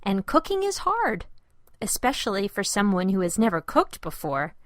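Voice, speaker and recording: A woman's voice: medium pitch, very animated, moderate pace, fairly bright tone, no room sound, studio quality. A woman voicing a kind, encouraging mother of a young boy in a children's storybook, speaking tenderly and reassuringly.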